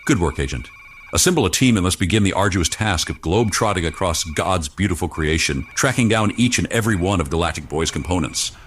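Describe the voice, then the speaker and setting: A man's voice: low voice, expressive, a little fast, fairly bright tone, slight background noise. A man playing a grave, portentous agency chief in a melodramatic radio serial. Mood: commanding. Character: solemn, grandiose male boss of an agency.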